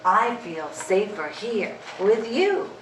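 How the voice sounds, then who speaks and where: A woman voicing a gentle, frightened farm cow in a bedtime storybook. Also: medium pitch, sing-song, slow pace, slight background noise. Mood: timid. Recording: echoey room, from far off.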